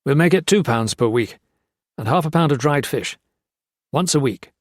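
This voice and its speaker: A crooked army colonel who barks orders and threatens in a firm, mid-pitched voice, as a man puts him on. His speech is clipped and commanding, recorded dry and close. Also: lively intonation, normal speed, no echo, natural voice. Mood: curt. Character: bullying male army colonel, menacing and crooked.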